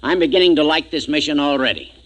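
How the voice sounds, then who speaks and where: Boozy jovial space crewman, old radio drama, highish bright voice, folksy n rambly. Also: expressive, quick pace, slight background noise. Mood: tipsy, chummy. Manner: folksy, rambling, bragging about drink. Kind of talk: 1950s science fiction radio acting. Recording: 1950s radio drama recording.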